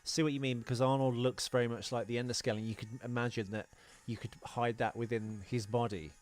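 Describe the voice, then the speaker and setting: A man's voice: medium pitch, fairly even intonation, quick pace. A man co-hosting a podcast, casually discussing films and trivia in a wry, joking way. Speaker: podcast co-host.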